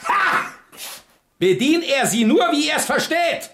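A man's voice: very high voice, big pitch swings, takes it slowly, heavy background noise, heavily acted. An actor playing a tyrannical, choleric middle-aged Prussian king in a German radio play, with an imperious, blustering manner. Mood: barking orders.

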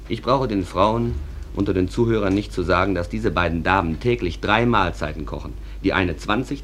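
An actor playing a wry, folksy stage manager who narrates town life conversationally to radio listeners. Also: male voice, fairly low pitch, a little hiss or hum. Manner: conversational, addresses listeners directly. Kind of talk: German radio play narration.

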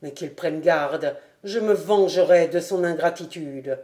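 A woman reading aloud as a furious, proud male baron who shouts in rage. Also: low-pitched, unhurried, roomy sound, pitched below the performer's own voice. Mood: appeased.

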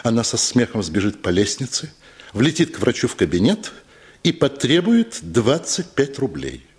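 A man narrating a literary story for a radio play, telling it expressively with dramatic pauses. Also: medium pitch, sing-song, darkish tone, slightly rough.

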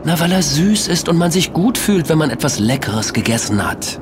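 Excitable sceptical guy, acted by a man, lively pitch. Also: moderate pace, noisy background. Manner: incredulous, colloquial, protesting. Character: sceptical, excitable male sugar lover named Kim. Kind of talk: scripted audio drama dialogue.

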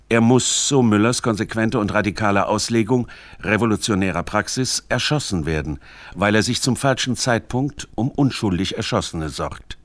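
Brief: male documentary narrator, lowish voice, formal and precise scripted read, very dry studio sound. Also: no room sound. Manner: measured, precise, formal delivery. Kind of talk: scripted radio feature narration.

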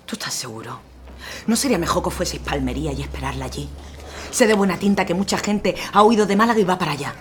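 An actress playing a brisk, persuasive organizer in a wartime radio drama. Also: female voice, on the low side, animated, slight background noise, natural voice. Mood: pleading.

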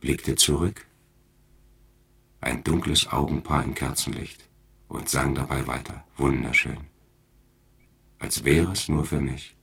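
An actor playing an entranced man in a radio play, speaking haltingly in a husky voice. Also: rumbling low, steady tone, fairly bright tone, exaggerated voice. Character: spellbound man recalling a captivating singer. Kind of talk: German radio drama acting.

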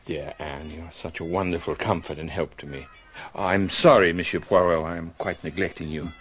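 A man playing a gentle, grieving doctor in a radio drama, subdued, courteous and apologetic. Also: deep voice, steady tone, normal speed, close to an everyday voice. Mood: sad, gentle. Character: gentle, grieving, polite middle-aged doctor.

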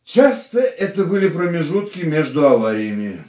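An actor playing an ardent, reflective racing driver in a radio drama, speaking in an intimate, searching, romantic manner. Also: male voice, fairly high pitch, sing-song, moderate pace, darkish tone. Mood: animated, reflective. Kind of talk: radio play acting.

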